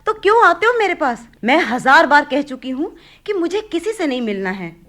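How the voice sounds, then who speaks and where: Radio drama: defiant sick daughter, twenty, very high voice, petulant and bitter. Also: female voice, very animated, normal speed, fairly bright tone. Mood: angry. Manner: petulant, snapping, stubborn. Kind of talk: Hindi radio play acting.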